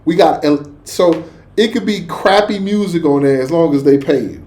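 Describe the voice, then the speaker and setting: Male reaction host, notably high-pitched, casual and slangy, emphatic, repeats phrases for weight. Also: moderate pace, warm tone.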